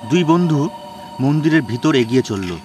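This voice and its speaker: A man's voice: on the high side, animated, normal speed, a little mellow. A man telling a horror story as a dramatic, scripted narration. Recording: music bed behind the voice.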